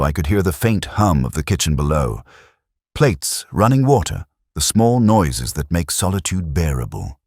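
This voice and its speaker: Very deep male voice, husky, measured storyteller, brooding detective narration, literary phrasing. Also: darkish tone, no echo, studio quality. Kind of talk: scripted first-person audio drama narration.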